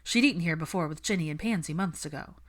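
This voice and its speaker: A woman's voice: sits low, fast talker. A woman reading a story aloud as a scripted narrator. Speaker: fan fiction narrator.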